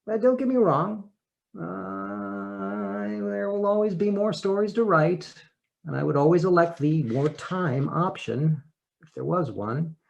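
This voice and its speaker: A male actor reads a first-person personal essay aloud in an expressive, theatrical manner, with deliberate pauses and emotional swells. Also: on the high side, gravelly, muffled recording.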